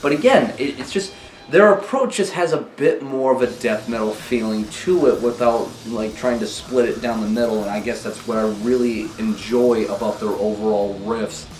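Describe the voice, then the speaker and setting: A young man with a sore throat talking casually and ramblingly about records he collects, recorded on a low-quality camera mic. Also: moderate pace, a little mellow. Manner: laid-back, rambling, swears casually. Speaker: vinyl collection vlogger. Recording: roomy, distant camera mic, faint noise.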